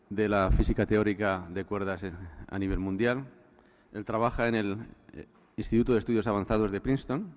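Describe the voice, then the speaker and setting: Man, deeper voice, halting speaker introduction, many pauses, hall reverb. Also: a little mellow. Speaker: event moderator. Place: lecture hall.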